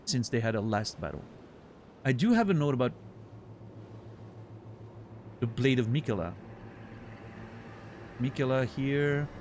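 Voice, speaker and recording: A man's voice: mid-range voice, big pitch swings, a little slow, long pauses, a little mellow, a little hiss or hum. A man with a French accent, French his first language, chatting casually on a livestream while he plays, thinking aloud and answering chat with playful mock outrage. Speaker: streamer. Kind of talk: casual livestream gameplay commentary.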